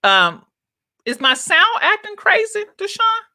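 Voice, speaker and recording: Chatty woman coach with a bright voice. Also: very high voice, very animated, a little slow, dry recording, clean studio sound. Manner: chatty, talks straight to viewers and reads their comments. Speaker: business coach. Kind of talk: casual live-streamed coaching talk. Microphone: own microphone, checked on her phone.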